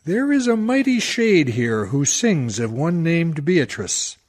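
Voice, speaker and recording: A man's voice: a little high, sing-song, slow, full character voice. A man playing a faded old small-town womanizer, wistful and bitter, reminiscing ruefully in a radio verse monologue.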